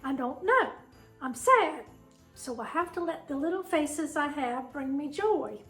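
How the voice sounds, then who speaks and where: A middle-aged woman with a voice made raspy by a sinus cold, chatting fondly and rambling as she shows her collection in her home kitchen. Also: high voice, expressive, a little slow, fairly bright tone, faint music behind. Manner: chatty, fond, meandering. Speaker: vlog host. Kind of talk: casual home tour chat.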